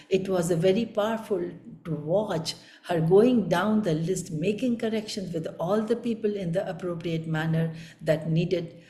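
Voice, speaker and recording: A woman's voice: on the low side, warm tone. A woman with an Indian accent giving a spiritual discourse as a teacher, speaking earnestly and emphatically and repeating phrases for weight. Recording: echoey room.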